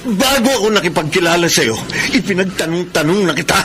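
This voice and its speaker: A man's voice: high-pitched, expressive, fast, background noise. A Filipino man playing a jilted young seaman who bitterly confronts his rival in an emphatic, anguished radio melodrama style. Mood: furious, accusing.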